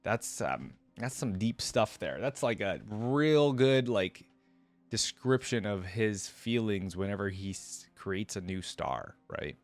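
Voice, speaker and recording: A man's voice: lowish voice, animated, slow pace, no echo, faint music behind. A man giving reflective reaction commentary and reading game lore aloud in a casual style.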